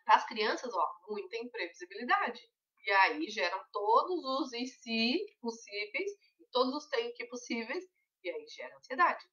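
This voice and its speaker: A woman's voice: a little high, pushed and bright, distant mic, highs cut off. A woman leading a weight-loss mentoring session, talking conversationally and explaining things with everyday examples.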